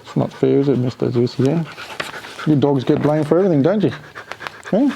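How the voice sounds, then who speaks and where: A man's voice: fairly high pitch, brisk, creaky, mellow, highs cut off, a little hiss or hum. A man reading a children's story aloud expressively, with playful, chatty asides to a pet. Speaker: storyteller reading a picture book to a dog.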